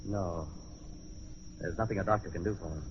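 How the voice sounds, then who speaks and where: Guy playing old time radio husband detective, calm n reassuring, lowish voice, dull hissy recording. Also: moderate pace, lightly acted. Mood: concerned, grave. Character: calm husband, amateur detective, gently reassuring his frightened wife. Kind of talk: 1940s radio acting.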